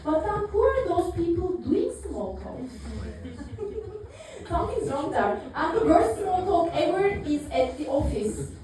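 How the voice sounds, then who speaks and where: Woman comedian, high voice, thirties, heavily echoing room, mic far off. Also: very animated, takes it slowly, heavy background noise. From Turkey. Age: 30s. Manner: dry, self-mocking, with many fillers and small laughs. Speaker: stand-up comedian. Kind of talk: stand-up routine. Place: live comedy venue, audience laughing. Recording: echoey room picked up from far off.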